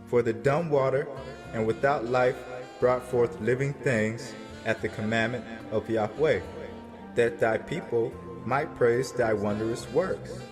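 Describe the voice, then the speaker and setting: Slow, solemn man reading in reverb. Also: medium pitch. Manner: solemn, deliberate, declamatory. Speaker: scripture narrator. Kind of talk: dramatized scripture reading. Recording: long reverb with music behind.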